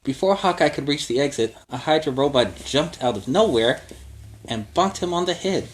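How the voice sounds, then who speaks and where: A man's voice: fairly high pitch, expressive, normal speed, a little hiss or hum. A man hosting a children's story time, narrating a storybook with chatty asides. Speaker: children's story time host in superhero character.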